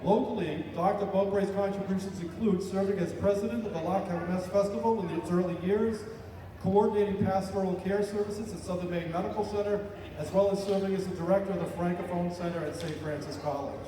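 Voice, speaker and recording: A man acting as master of ceremonies, reading award citations in a formal, measured way at a ceremony. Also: high voice. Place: large hall with an audience. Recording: echoey hall recorded from far off.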